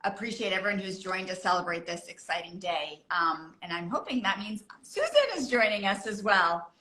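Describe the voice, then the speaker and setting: Bright-toned earnest woman gynecologist. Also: medium pitch. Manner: earnest, measured, with fillers. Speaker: gynecologist, brand co-founder. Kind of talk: panel discussion.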